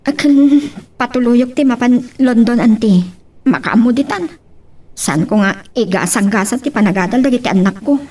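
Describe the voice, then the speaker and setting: Woman acting a burdened mother, muted and husky. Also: fairly high pitch, animated, moderate pace, mellow, far from the mic. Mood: troubled. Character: burdened, self-sacrificing mother whose husband is missing. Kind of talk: Ilocano family radio-style drama acting.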